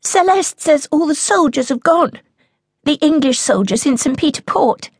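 A woman doing a shrill, pleading little girl. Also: expressive, no echo, clean studio sound. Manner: shrill, rapid, insistent. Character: anxious eager young girl, pleading.

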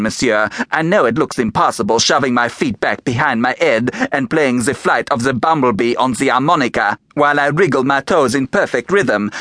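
A man's voice: a little high, a bit bright, no room sound, voice pushed up. A man putting on a sassy showgirl. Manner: sassy, indignant, fake French words. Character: brash showgirl contortionist woman, sassy and touchy. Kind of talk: audiobook character voice.

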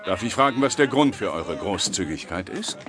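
An actor playing Störtebeker, a bold, boastful, hard-drinking medieval sailor, in an audio drama. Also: male voice, low-pitched, sing-song, moderate pace, a crowd in the background. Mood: wary, blunt. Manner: boastful, swaggering, blunt. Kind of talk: German audio drama acting. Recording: noisy tavern ambience behind.

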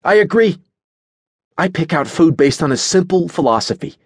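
A man voicing an excitable, opinionated young man in an audiobook story, eager and emphatic. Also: a little high, normal speed, no room sound, studio quality, voice pushed up. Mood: enthusiastic, opinionated.

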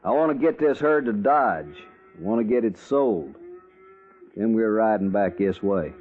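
Low-voiced, defiant trail boss. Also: male voice, expressive, unhurried, soft background music. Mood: bitter, defiant. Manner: curt, defiant, drawling cowboy talk. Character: hostile, stubborn Texan cattle trail boss. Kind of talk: 1950s radio western acting. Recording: muffled, noisy old radio recording.

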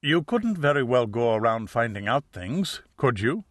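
A male narrator acts out a nervous, well-off middle-aged woman in a detective audiobook. She sounds hesitant, earnest and plaintive, with a bright tone in a dry recording. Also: medium pitch, big pitch swings, moderate pace, dry recording. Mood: hesitant, ashamed. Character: anxious, ashamed middle-aged wealthy woman client.

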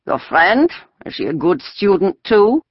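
A narrator voicing a sneering, domineering soldier who questions children in a loud, curt way, in a storybook reading. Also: mid-range voice, sing-song, measured pace, husky, no echo, highs cut off, voice pushed up. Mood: sneering, demanding.

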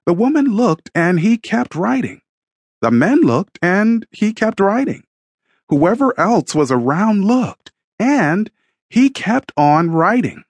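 A man narrating a scripted story dramatically in the first person, with deliberate, emphatic delivery and a husky voice. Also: high voice, dry recording, studio quality. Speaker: audiobook narrator and author.

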